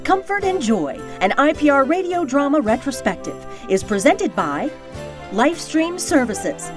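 Lively high-voiced woman announcer. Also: measured pace, soft background music. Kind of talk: scripted sponsor and credits announcement.

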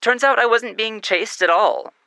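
Bright, close-miked woman in comic skit. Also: on the high side, normal speed, no room sound. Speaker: radio producer in a comic skit. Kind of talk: scripted comedic skit.

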